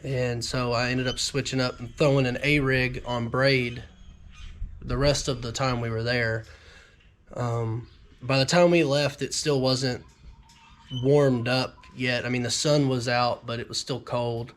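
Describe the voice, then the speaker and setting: A man's voice: mid-range voice, steady tone, measured pace. Nasal man getting over a cold. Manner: rambling, frequent ums and restarts. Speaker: fishing vlogger. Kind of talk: casual talk to camera.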